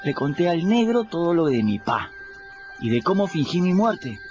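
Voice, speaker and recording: Lively runaway boy storyteller. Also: fairly high pitch, normal speed. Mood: animated storytelling. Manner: colloquial, animated, exclamatory. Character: cheeky runaway adolescent boy narrating his river adventures. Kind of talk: radio drama storytelling in first person. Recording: muffled radio production with music behind.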